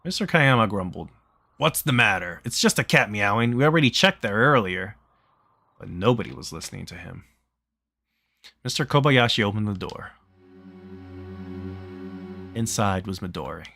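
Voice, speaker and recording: A man's voice: lowish voice, vocal fry, bright, forceful tone, dry recording, studio quality. A man reading a game's story aloud in a plain, conversational way, mixed with casual chat. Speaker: streamer reading a visual novel aloud.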